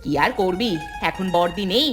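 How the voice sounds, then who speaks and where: A woman's voice: medium pitch, moderate pace, a bit bright, soft background music, close to an everyday voice. A woman voicing a bickering sister-in-law in a village family cartoon, scolding and complaining. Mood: grumbling. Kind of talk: cartoon family drama dialogue.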